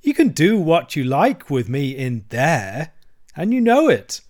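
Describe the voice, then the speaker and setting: A man voicing a vain, excitable male toad from a storybook, stubborn and gushing in delivery. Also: on the high side, measured pace. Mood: impatient, gushing. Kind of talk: storybook character voice.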